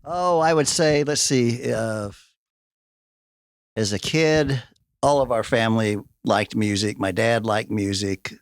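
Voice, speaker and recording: A man in his sixties with a Midwestern American accent, a guitarist reminiscing in relaxed conversation. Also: medium pitch, slow, no room sound, clean studio sound. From Kansas City area. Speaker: band guitarist. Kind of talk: casual chat. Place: radio studio.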